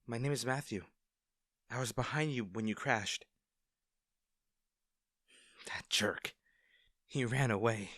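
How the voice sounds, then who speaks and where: Slow-paced, caring young rescuer played by a man. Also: mid-range voice, dry recording, studio quality. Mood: worried, reassuring. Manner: gentle, reassuring, hesitant. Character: Matthew, kind, worried young male rescuer at crash. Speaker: voice actor in roleplay audio. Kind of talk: acted first-person roleplay audio drama.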